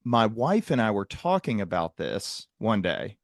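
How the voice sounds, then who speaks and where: A man hosting a conversational interview in a reflective way, building long, qualified sentences with pauses. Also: on the low side, big pitch swings, dry recording, studio quality. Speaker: podcast host, psychiatric provider.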